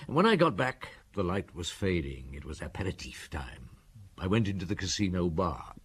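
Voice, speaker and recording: A man playing an unhurried French police inspector in an audio drama, courteous and ruminative as he questions and recounts. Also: low voice, big pitch swings, a little hiss or hum. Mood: relaxed, musing.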